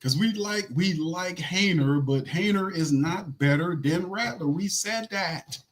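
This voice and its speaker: A man with a raspy voice hosting a sports podcast, talking loosely with slang and running through game stats. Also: sits high, unhurried. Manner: loose, slangy, restarts a lot, reads stats. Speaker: podcast host. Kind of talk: casual sports podcast talk.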